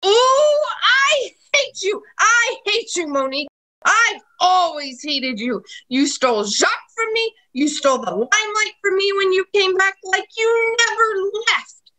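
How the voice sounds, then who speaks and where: Actress as jealous lady thief in a radio drama, super high sharp voice, very melodramatic. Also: big pitch swings, a little slow, full character voice, low-quality recording. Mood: furious, hateful. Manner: melodramatic, emphatic. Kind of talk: radio play acting.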